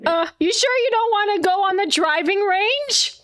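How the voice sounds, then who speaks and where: A woman plays a tabletop role-playing game, talking in a casual, joking way and swearing freely. Her voice sits high. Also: expressive, normal speed, fairly bright tone, slight background noise. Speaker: player.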